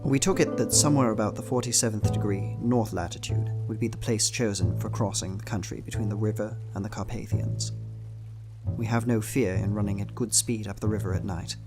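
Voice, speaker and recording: An anxious young Victorian husband played by a man records his journal in a radio play. His voice is low and brooding, with formal old-fashioned phrasing, and guitar music plays clearly behind him. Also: close to an everyday voice. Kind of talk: dramatized diary narration in a radio play. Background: plucked guitar music.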